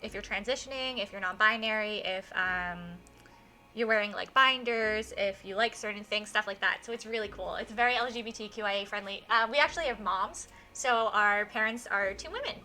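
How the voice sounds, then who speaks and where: Bright, excitable woman streamer. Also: medium pitch, a little fast. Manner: effusive, excitable. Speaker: live streamer, host. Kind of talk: casual game stream chat. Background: music.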